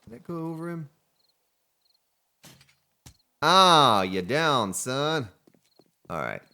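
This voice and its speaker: A man's voice: a little high, very animated, takes it slowly, pushed and bright, clean tone. A man casually commenting on his gameplay as a let's play host, chatting and joking with the characters on screen. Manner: chatty, jokes at game characters.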